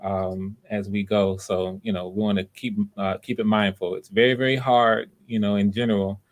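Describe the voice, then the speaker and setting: A man's voice: fairly low pitch, level tone, normal speed. A man hosting live auditions over a video call, talking casually and encouragingly, often repeating and rephrasing himself. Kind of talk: casual unscripted hosting talk.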